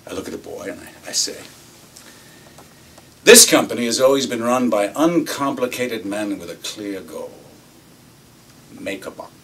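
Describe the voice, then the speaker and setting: An actor playing a cynical, swaggering corporate executive, telling his story in a theatrical monologue with deliberate pauses. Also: lowish voice, animated, a bit bright. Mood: smug, cutting. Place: acting workshop room. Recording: distant mic with faint room noise.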